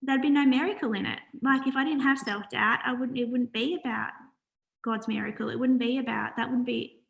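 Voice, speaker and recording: A soft-spoken woman, a charity founder, talking earnestly in a casual interview with many pauses and fillers. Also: fairly high pitch, fairly even intonation, gravelly, rough recording.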